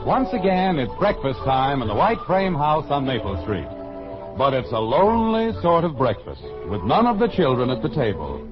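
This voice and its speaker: A man's voice: mid-range voice, sing-song, moderate pace. An American man announcing from a script in a polished, vintage radio sales style. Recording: muffled old radio broadcast, music behind. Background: music.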